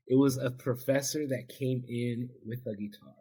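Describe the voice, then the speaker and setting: A man's voice: mid-range voice, steady tone, moderate pace, dark tone, husky, low-quality recording. A man whose first language is Spanish, an addiction counselor telling his own story as a podcast guest in an earnest, heartfelt, reflective way. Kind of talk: podcast interview, personal storytelling.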